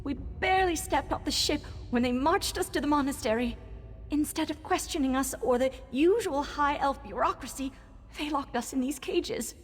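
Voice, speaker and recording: A woman's voice: high-pitched, close to an everyday voice. A woman voicing a frightened captive newcomer in a fantasy game, with an anxious, pleading manner. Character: frightened young female captive, newly arrived immigrant, pleading. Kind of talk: scripted fantasy game dialogue. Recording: long reverb, faint background noise.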